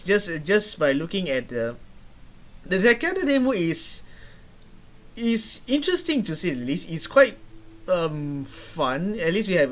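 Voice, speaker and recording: A young man giving casual reaction commentary in a halting, hesitant way, repeating words as he searches for the next one. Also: a little high, fairly bright tone. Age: young adult. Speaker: reaction video host. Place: small room indoors.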